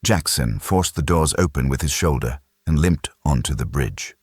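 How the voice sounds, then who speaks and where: Very deep, husky male audiobook narrator. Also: animated, normal speed, dry recording, studio quality. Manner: grave, suspenseful storytelling. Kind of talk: dramatic scripted sci-fi narration.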